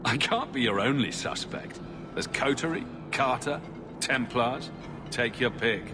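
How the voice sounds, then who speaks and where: An actor playing a steady, dry-witted male adventurer hero in a game, firm and matter-of-fact. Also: mid-range voice, measured pace, loud music behind the voice, lightly acted. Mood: defiant.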